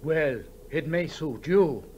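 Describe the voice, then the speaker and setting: An actor playing a plain-spoken, respectably pious farmer in a 1960s radio drama, frank, wary and doubtful. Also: male voice, a little high, slow pace, a little mellow. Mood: wary, matter-of-fact. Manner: frank, doubtful, disapproving of heathen ways. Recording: dull, noisy old radio recording.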